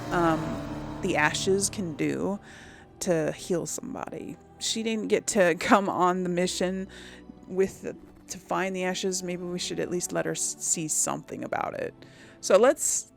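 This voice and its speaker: A woman's voice: fairly low pitch, measured pace, gravelly, soft background music. A woman giving casual, rambling commentary on a video game, thinking aloud to her viewers. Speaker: host of a video game let's play.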